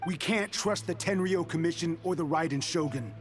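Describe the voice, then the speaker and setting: A bitter young resistance soldier, played by a man, his voice lighter and higher than most men's. He talks in a defiant, brooding and proud way. Faint game music runs under him. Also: normal speed, close to an everyday voice. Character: bitter young male resistance soldier, stubborn and war-scarred. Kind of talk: video game voice acting.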